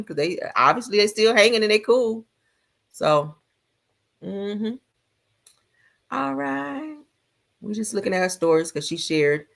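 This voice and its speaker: A woman with a slightly low voice hosts a casual gossip stream. She comments as she watches, sassy and colloquial, stretching out little asides. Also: gravelly. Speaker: gossip livestream host.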